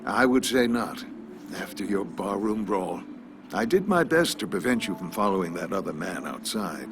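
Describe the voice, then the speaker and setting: A man playing a courteous, philosophical older small-town pharmacist in a video game, talking in a polite, measured, folksy way. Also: fairly low pitch, very animated, noisy background. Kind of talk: video game character dialogue.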